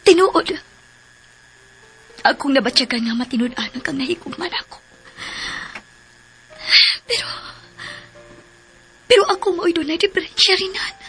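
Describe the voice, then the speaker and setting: A woman's voice: sits high, expressive, unhurried, pauses often, fairly bright tone, heavily acted, low-quality recording. An actress playing a fragile, troubled young woman in love in a radio drama, speaking with a Filipino Cebuano accent. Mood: troubled, confessing. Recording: faint music bed under radio drama voice.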